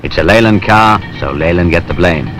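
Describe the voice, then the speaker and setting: British male narrator, low voice, wry, colloquial, scripted but conversational, noisy 1970s film soundtrack. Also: brisk.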